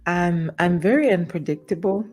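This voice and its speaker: A young adult woman co-hosting a casual talk show, light and playful, quick to laugh. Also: on the low side, a little mellow. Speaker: co-host. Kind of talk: casual chat.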